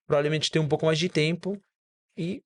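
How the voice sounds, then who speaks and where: A man's voice: fairly high pitch, steady tone, fairly quick. A man whose first language is Spanish, speaking as a doctor guest on a casual podcast and explaining things with frequent self-corrections.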